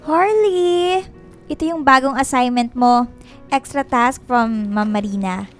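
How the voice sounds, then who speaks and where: A woman's voice: high voice, measured pace, soft background music. An actress playing a haughty, sarcastic woman supervisor in a radio drama, curt and condescending. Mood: haughty, mocking.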